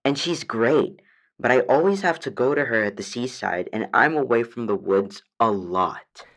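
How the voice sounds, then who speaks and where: A woman's voice: very deep voice, lively intonation, normal speed, full character voice. A woman voicing a meek, hesitant dryad calling a radio advice show in an audio drama, unsure and trailing off. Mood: hesitant, sheepish. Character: hesitant, meek female dryad, homesick for her forest.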